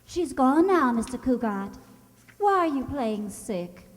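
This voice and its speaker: A woman's voice: high-pitched, big pitch swings, takes it slowly, full character voice. A woman playing a formal, dryly witty nurse in an old-time radio sitcom. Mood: dry, questioning. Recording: echoey hall.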